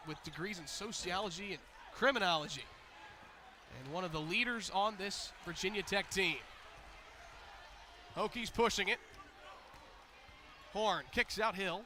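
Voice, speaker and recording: An American man doing energetic live basketball play-by-play commentary, building to excited calls on big plays. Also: high voice, moderate pace, pauses often, a little hiss or hum.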